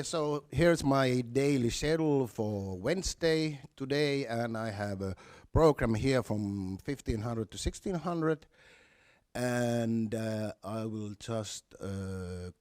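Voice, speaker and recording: A man giving a live software walkthrough step by step, halting and repeating words now and then. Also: medium pitch, lively intonation, no echo, clean studio sound. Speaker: software demonstrator.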